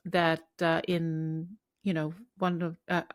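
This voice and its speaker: A woman's voice: sits low, flat delivery, slow, dry recording, studio quality. A woman host who grew up in Japan, interviewing in a rambling, hesitant way with many fillers. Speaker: podcast host. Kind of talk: casual interview.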